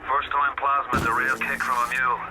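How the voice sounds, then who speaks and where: Irish resistance man, game radio guide: mid-pitched, coaxing, urgent, radio-filtered, heavy score underneath. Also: flat delivery, brisk. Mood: steady, coaxing. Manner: earnest, coaxing, colloquial. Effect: shortwave radio filter. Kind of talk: dramatic video game radio dialogue. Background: loud game music.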